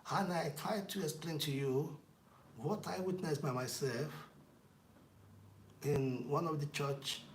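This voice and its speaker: A man's voice: fairly high pitch, slow, long pauses. A man preaching a sermon, chanting declarations in rhythmic, emphatic repetition. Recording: echoey room with faint noise.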